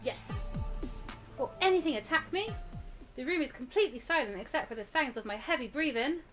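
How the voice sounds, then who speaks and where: A woman's voice: high-pitched, expressive, fairly quick, fairly bright tone, soft background music. A woman voicing the frightened young protagonist of a horror visual novel, dramatic and anxious. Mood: alarmed.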